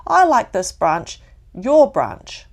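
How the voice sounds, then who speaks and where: A woman voicing a timid, childlike little boy koala in a bedtime story, squeaky and hesitant. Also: very animated, takes it slowly, vocal fry, full character voice. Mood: scared, clingy.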